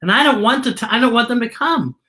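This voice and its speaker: A woman's voice: fairly high pitch, expressive, fast, pushed and bright, voice pushed up. A woman voicing a timid, upset little girl in a storybook, pleading and insistent.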